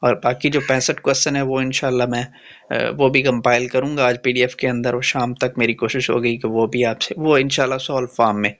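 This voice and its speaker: Flat-toned Pakistani man explaining exam questions. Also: medium pitch, brisk, lo-fi sound. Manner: matter-of-fact, mixes in English phrases. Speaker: exam-prep tutor. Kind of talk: informal explainer, reading exam questions aloud.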